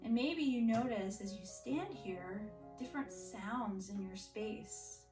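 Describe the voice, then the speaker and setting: A woman teaching yoga and mindfulness to children, giving gentle, reassuring step-by-step instructions. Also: medium pitch, slow pace. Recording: roomy echo, distant mic, music behind.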